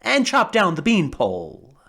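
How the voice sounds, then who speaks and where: A woman voicing a gentle, cheerful male scarecrow made of straw, speaking slowly and gravely. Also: fairly low pitch, wildly swooping pitch, exaggerated voice. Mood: urgent.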